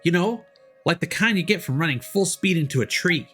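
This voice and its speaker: A little forest spirit from a fantasy game, put on by a man, naive and gentle in manner. The voice is bright and lively, with simple, earnest phrasing. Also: on the high side, brisk, breathy edge, faint music behind. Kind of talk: voiced reading of game dialogue.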